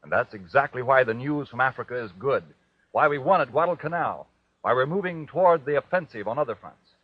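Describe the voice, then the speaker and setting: A man as an earnest, insistent wartime radio pitchman, exhorting listeners with emphatic rhetorical questions. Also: expressive, a little fast, breathy edge, no echo. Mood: earnest, urgent. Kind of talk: 1940s radio announcer appeal. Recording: dull, dry old radio recording.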